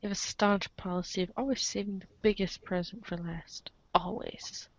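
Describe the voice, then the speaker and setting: A woman's voice: mid-range voice, fairly even intonation, moderate pace, dry recording, dull audio. A woman reading a comic aloud as the show's narrator, theatrical and playful, slipping into casual asides. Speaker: narrator of a comic read-along show.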